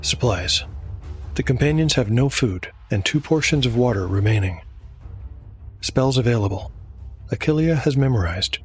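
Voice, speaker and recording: A man hosting and narrating a scripted dark fantasy tale in a measured, solemn storytelling manner. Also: medium pitch, animated. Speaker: host and narrator. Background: background music.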